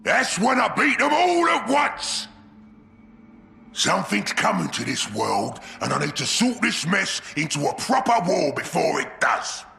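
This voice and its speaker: A man voicing a brash, scheming ork warboss in a video game, gravelly and loud, with crude slangy speech. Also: high-pitched, huge pitch swings, unhurried, reverberant, big-room sound, full character voice. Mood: triumphant, bellowing. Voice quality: gravelly, shouting. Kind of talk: video game cutscene acting.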